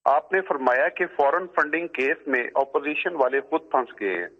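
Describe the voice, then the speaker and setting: A man's voice: on the high side, normal speed. A courteous, deferential man, asking a question as a caller over the telephone. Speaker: phone-in caller. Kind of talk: polite question to a guest on air. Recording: dull phone call with long reverb.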